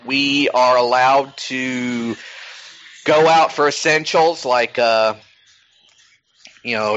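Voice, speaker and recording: A man hosts a loose podcast chat at an unhurried pace, his voice bright and forceful. He rambles and swears freely, and the recording sounds slightly dull and lo-fi. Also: medium pitch. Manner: profane, rambling, many fillers. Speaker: podcast host.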